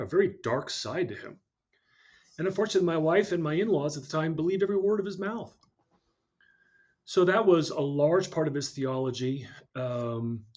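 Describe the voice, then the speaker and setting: A man speaking as a podcast guest, telling a personal story in an earnest, measured way. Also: medium pitch, a little mellow.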